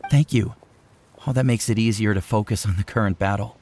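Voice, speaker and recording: An actor playing an earnest, dutiful young warrior in a video game, speaking in a subdued, gravelly voice. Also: male voice, fairly low pitch, steady tone, fairly quick, background noise, lightly acted. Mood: relieved. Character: worried young male warrior, devoted son, earnest and dutiful.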